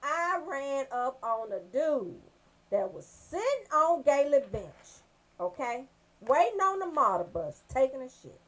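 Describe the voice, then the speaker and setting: A woman's voice: high-pitched, big pitch swings, measured pace, fairly bright tone, low-quality recording. A woman telling comic stories as a radio show host, brash and freely swearing.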